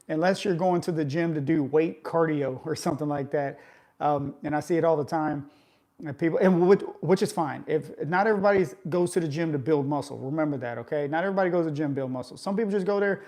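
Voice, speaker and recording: A man's voice: a little high, dark tone. A man in his forties chatting casually with live viewers about workouts, coaching in a conversational way and often tagging his points with "right?". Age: 40s. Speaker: fitness vlogger.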